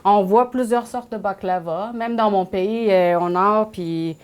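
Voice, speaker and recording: Persian-accented woman explaining cooking, mid-pitched. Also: clean tone. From Iran. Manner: halting second-language phrasing. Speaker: guest home cook.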